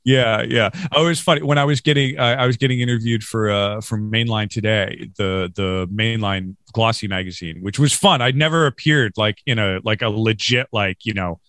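A man's voice: fairly low pitch, normal speed, few pauses, a bit bright, no room sound. A man from Western New York, chatting as a podcast guest in a rambling, self-deprecating way with frequent laughs. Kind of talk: casual interview chat.

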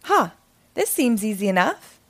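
A woman voicing an eager, boastful, easily flustered male cat in a children's storybook, in an excitable, dramatic manner. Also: medium pitch, unhurried. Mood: hopeful. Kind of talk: animated children's storybook character reading.

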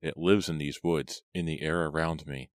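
A man's voice: extremely low pitch, steady tone, moderate pace, dry recording, clean studio sound. A man voicing a frightened, grief-stricken young man in a horror storybook, tense and questioning. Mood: brooding dread.

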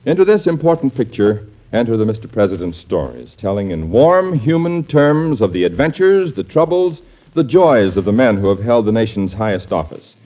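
A man's voice: fairly low pitch, big pitch swings, moderate pace. A man announcing in a formal, earnest and emphatic 1940s radio style. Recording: dull vintage radio recording.

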